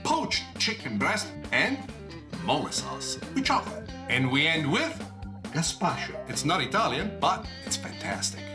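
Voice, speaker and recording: A man hosting a cooking show from his kitchen as a TV chef, speaking with passion and expression and often pausing on "uh". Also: medium pitch. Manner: passionate, expressive delivery with frequent "uh" fillers. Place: home kitchen.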